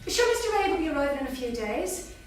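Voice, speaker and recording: A woman plays a deferential, sentimental housemaid with a high voice. She chats respectfully from far off in a very echoey hall with faint background noise. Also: big pitch swings, fast talker. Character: deferential, chatty household maid, soft-hearted. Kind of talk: staged script reading.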